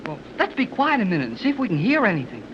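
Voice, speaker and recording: A man's voice: high voice, huge pitch swings, rapid-fire. A man playing an impatient, boyish young adventurer in a 1930s radio serial, blunt and slangy. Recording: muffled, noisy 1930s radio recording.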